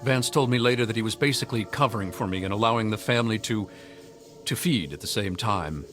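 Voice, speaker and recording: A man's voice: lowish voice, quick pace, fairly bright tone, faint music behind, lightly acted. Actor as subdued young townsman, reflective. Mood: somber, confessional. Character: young man, orphaned townsman, troubled and subdued. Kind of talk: video game dialogue.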